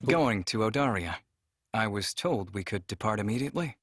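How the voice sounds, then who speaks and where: A man's voice: on the low side, lively intonation, moderate pace, dry recording, studio quality. A man playing a secretive, dignified humanoid alien in a visual novel game, speaking in a curt, formal, guarded way.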